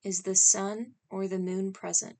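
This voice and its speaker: Slow, creaky-voiced woman guiding meditation. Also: mid-range voice. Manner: calm, instructional, with short spaced phrases. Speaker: meditation guide. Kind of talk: scripted guided meditation.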